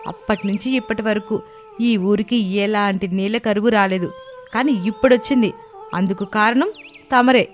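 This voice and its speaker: A woman's voice: medium pitch, moderate pace, faint music behind, natural voice. Woman playing a bold, outspoken young daughter-in-law. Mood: accusing.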